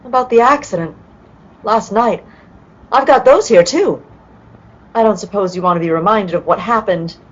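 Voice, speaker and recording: A woman playing a polite, earnest young detective's companion in an old-time radio play, courteous and gentle. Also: mid-range voice, expressive, normal speed, pauses often, lightly acted. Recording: muffled video-call audio.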